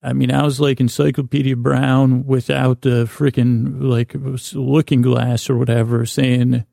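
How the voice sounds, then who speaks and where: A man hosting a bedtime podcast with a creaky voice, rambling in a halting, self-deprecating way that wanders and breaks off mid-word. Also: mid-range voice, flat delivery, warm tone, dry recording, clean studio sound. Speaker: podcast host. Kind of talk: rambling bedtime monologue to help listeners sleep.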